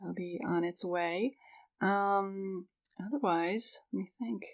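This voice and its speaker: A woman's voice: medium pitch, takes it slowly. A woman co-hosting a podcast, chatting in a casual, informal, conversational way. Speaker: podcast co-host.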